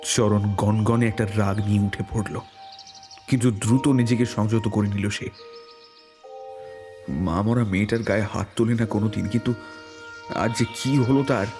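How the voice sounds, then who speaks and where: A man with a fairly low voice reads dramatic suspense fiction as narrator. It is scripted storytelling, with music clearly playing behind him. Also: fairly even intonation, moderate pace, long pauses, a little mellow. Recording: prominent background music.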